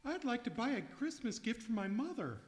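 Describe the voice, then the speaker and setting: A woman playing a naive, polite young man in an old-time radio comedy, earnest and deadpan. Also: a little high, fairly quick. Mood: innocent deadpan. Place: live performance in a museum hall. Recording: reverberant hall, live stage recording.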